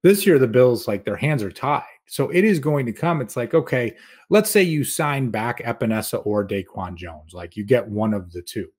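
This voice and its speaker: A man's voice: medium pitch, expressive, normal speed, a little mellow, slightly rough. A man giving casual sports analysis as a podcast guest, explaining his points conversationally.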